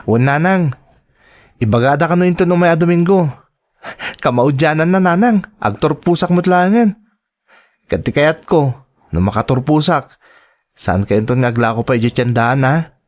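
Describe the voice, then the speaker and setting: A man's voice: a little high, lively intonation, moderate pace, pauses often, a little mellow, close to an everyday voice. A man acting as a caring student son in an Ilocano radio drama, speaking tenderly and respectfully with an Ilocano accent. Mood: attentive. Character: devoted, caring teenage or young-adult student son.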